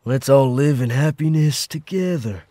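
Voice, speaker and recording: A man's voice: mid-range voice, moderate pace, warm tone, close to an everyday voice. A man voicing a smug, manic infected doctor in a comic dub, with a theatrical, coaxing delivery. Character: fungus-infected male doctor, smug, manic cult-like persuader.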